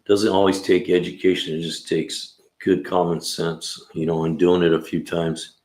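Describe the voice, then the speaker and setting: Deep-voiced man in his sixties, laid-back. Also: level tone, normal speed, darkish tone. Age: 60s. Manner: laid-back, rambling, "man" and "you know" often. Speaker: livestream host and mechanic. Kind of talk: casual livestream chat. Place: garage. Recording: echoey room.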